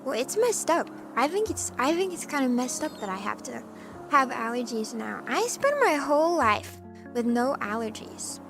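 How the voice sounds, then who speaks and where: A woman streamer chatting casually with her live chat, with a hoarse voice and a playful cat persona. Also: sits high, very animated, moderate pace. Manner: chatty and playful, often clearing her throat. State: hoarse from allergies. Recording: music playing in the background.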